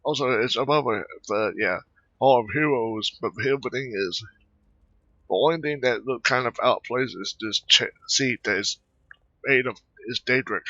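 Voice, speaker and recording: A man giving casual gameplay commentary in a rambling, hesitant, halting way. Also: mid-range voice, sharp tone, no echo, lo-fi sound. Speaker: gamer narrating own gameplay.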